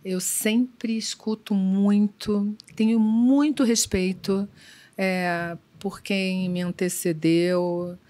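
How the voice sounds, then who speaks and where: A middle-aged woman executive answering interview questions in a measured, thoughtful way, pausing often with fillers. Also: mid-range voice, steady tone, dark tone. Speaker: business executive guest. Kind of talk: conversational podcast interview.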